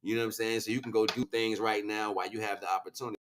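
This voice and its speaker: A man with a fairly low voice hosts a casual livestream. He speaks in slangy, rambling monologue with a preachy, impassioned streak, often addressing his viewers directly as if answering them. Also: little pitch movement, rapid-fire, background noise. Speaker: livestream host.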